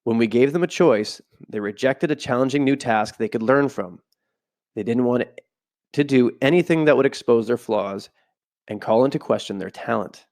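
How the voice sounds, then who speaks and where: Male podcast host, home setup, stuffy with a cold, reads a book aloud and drifts into loose asides, mid-pitched. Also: normal speed, a little mellow. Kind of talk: casual podcast, reading from a book with side comments. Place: home room, not a studio.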